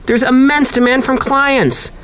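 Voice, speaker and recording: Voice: high voice, steady tone, measured pace, fairly bright tone, clean tone. An actor playing a breezy, cheerfully dismissive office manager in an audio drama.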